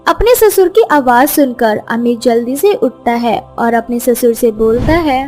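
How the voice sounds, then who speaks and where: A woman's voice: fairly high pitch, expressive, a little slow, darkish tone, faint music behind. A woman narrating a scripted moral story as its storyteller.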